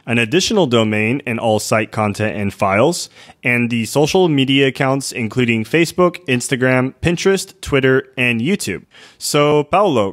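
Upbeat male podcast host. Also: mid-range voice. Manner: upbeat, reads listing details from a script. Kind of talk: scripted podcast interviewing.

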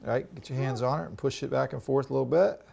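A man's voice: mid-range voice, steady tone, fast talker, vocal fry, warm tone. A middle-aged man, a chef, patiently walking children through a recipe in a home kitchen. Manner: patient, instructive, coaching kids. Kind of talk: cooking demonstration.

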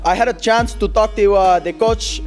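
A man voicing an excitable, cocky puppet sportscaster who rambles in slangy, emphatic comic commentary. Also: high-pitched, moderate pace, faint music behind, natural voice. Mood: rambling, earnest. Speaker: sports segment host.

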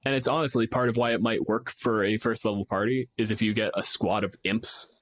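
A man's voice: on the low side, fairly even intonation, fairly quick. A man co-hosting a podcast in a casual, chatty style about tabletop games. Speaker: podcast co-host.

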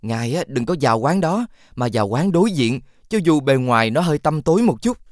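A man voicing a wise, friendly talking fox in an animated fairy tale, earnest and insistent as he gives advice. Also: medium pitch, fairly quick, no room sound, clean studio sound, natural voice. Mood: earnest advising.

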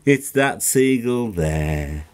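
Deep-voiced rambling English man. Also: lively intonation, drawn-out words. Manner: rambling, chatty, many fillers and restarts. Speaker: vlogger. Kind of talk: casual vlog chat to camera. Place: living room at home, on a sofa. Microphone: camera in front, close framing.

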